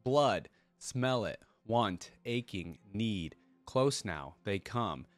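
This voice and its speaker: A man reading the thoughts of a mad, bloodthirsty undead warrior in terse, halting fragments, as in a story. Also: fairly low pitch, animated, no room sound, faint music behind. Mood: bloodthirsty craving.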